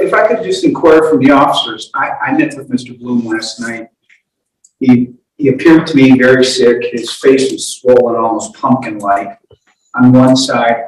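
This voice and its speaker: Distant, echoey man arguing haltingly in court. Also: medium pitch. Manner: hesitant, repeats words, deferential. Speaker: defense attorney. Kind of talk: formal but halting courtroom argument. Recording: echoey courtroom, distant mic, background noise.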